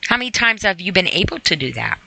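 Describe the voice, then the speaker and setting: Bright-voiced woman, conversational and earnest. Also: on the low side, sing-song, quick pace, creaky, slight background noise, low-quality recording. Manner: conversational, earnest, rhetorical questions to the listener. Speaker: podcast host reading and commenting on a sobriety e-book. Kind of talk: reading aloud with informal motivational commentary.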